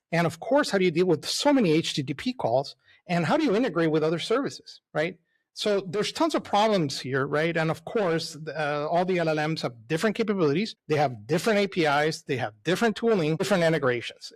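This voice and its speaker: Chatty man presenting a tech webinar. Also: fairly high pitch. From El Salvador. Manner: enthusiastic, conversational, frequent "right?" and "uh" fillers. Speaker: CEO presenting a technical webinar. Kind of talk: casual live webinar presentation.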